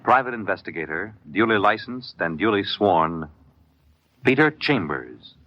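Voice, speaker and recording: Slow, dramatic-ranging male vintage radio announcer. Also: on the low side, very animated, over music. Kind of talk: 1950s radio drama introduction. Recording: muffled 1950s radio broadcast recording.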